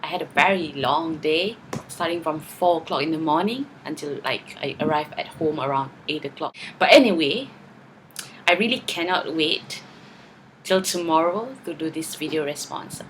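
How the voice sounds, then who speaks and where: A woman's voice: low-pitched, moderate pace, slight background noise, low-quality recording. A tired woman, not a native English speaker, talking to camera in a chatty video response, often tagging her points with "okay" and "right?". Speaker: vlogger.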